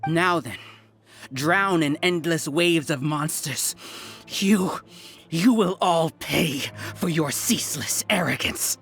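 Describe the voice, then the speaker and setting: An actor playing an arrogant, menacing hive-mind overlord in an anime-style video game, lecturing in a pompous, deliberate manner. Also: male voice, fairly high pitch, fairly bright tone. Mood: gloating, menacing. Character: arrogant scholar villain, overmind of a hive collective, menacing. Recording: faint music behind the voice.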